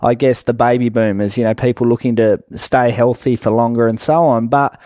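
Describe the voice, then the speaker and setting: A man's voice: medium pitch, fairly even intonation, a little fast, mellow, dry recording. Middle-aged Australian man explaining, dry sound. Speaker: guest, business owner. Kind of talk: conversational radio interview, explanatory.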